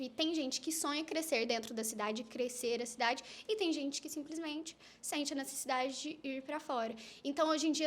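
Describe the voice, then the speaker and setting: Young woman, high bright voice, chatty, animated. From Brazil. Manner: chatty, animated storytelling with quick asides. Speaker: influencer guest. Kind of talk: casual podcast conversation.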